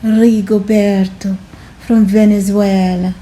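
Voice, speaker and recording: A woman reading a children's storybook aloud with gentle, deliberate, expressive phrasing. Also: mid-range voice.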